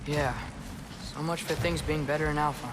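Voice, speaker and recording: A man's voice: fairly high pitch, steady tone, normal speed, heavy background noise, natural voice. An actor playing an earnest, curious teenage boy adventurer in a video game, with casual, modern phrasing. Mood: matter-of-fact. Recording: music under the voice.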